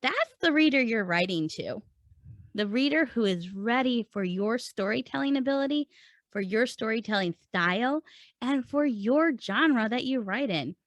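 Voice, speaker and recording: Emphatic woman podcast host, higher-pitched voice. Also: animated, normal speed, no room sound. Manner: emphatic, rhetorical, addresses listener directly. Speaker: podcast host and writing coach. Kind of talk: conversational instructional podcast talk.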